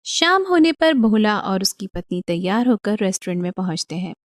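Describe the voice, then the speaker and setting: A woman narrating a scripted animated story as the storyteller. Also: medium pitch, moderate pace, no echo, studio quality.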